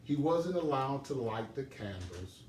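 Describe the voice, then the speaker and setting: Slow, animated male storyteller in a roomy space. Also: mid-range voice. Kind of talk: expressive children's storybook reading. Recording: roomy, distant microphone, background noise.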